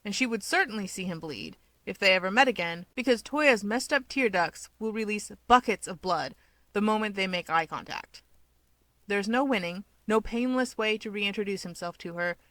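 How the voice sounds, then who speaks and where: Woman reading a story out loud, expressive, medium pitch, really dry sound. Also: moderate pace, no echo, poor audio. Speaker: fiction narrator. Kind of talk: expressive scripted story narration.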